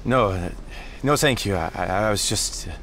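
An actor playing a troubled father in an audio drama, speaking haltingly and pensively. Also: lowish voice, gravelly, a bit bright, slight background noise. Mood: anxious, hesitant. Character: troubled, hesitant French father travelling with his boys.

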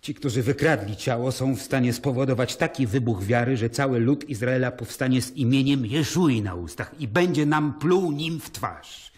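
An actor playing a stern, brooding Roman governor in an audio drama, solemn and commanding. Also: male voice, mid-range voice, moderate pace, hardly any pauses, darkish tone, slightly rough, lightly acted. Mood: commanding, urgent.

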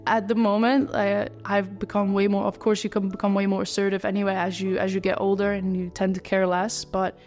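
A young Dutch woman with a Dutch accent, answering interview questions in a casual way, talking quickly and tripping over her words with many restarts. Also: medium pitch, little pitch movement, a little mellow, big-room sound, faint music behind. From Netherlands. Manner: fast talker who trips over words, many restarts and fillers. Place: living-room set with lamp and window, indoors.

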